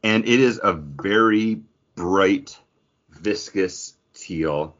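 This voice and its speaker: A man's voice: sits low, extremely slow, fairly bright tone, lo-fi sound. A man co-hosting a podcast in casual chat, with an informal, jokey, geeky enthusiasm. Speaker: podcast co-host.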